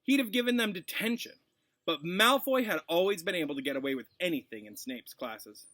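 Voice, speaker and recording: A man, a teacher, reading a storybook aloud in an expressive narrating style. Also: sits high, a little fast, no room sound, studio quality.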